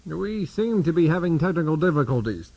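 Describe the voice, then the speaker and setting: An actor playing a self-important, earnest network news anchor in a satirical radio play, with formal, insistent questioning. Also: male voice, fairly high pitch, sing-song, brisk, creaky, heavy background noise. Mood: earnest, probing. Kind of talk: satirical radio theater, mock news interview.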